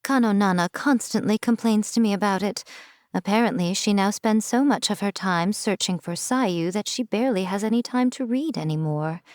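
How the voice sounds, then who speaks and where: A woman voicing a sly, elegant fox-spirit shrine priestess in a video game, with coy, teasing, haughty and measured delivery. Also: mid-range voice, no echo, clean studio sound, natural voice. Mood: wry. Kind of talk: game character voice lines, theatrical monologue.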